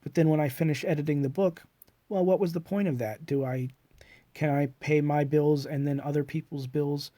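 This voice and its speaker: A man's voice: fairly high pitch, steady tone, normal speed, dark tone, no room sound. A middle-aged man with a cold and a congested voice, musing in a hesitant, reflective monologue full of fillers and restarts. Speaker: self-published author. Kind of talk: rambling personal monologue.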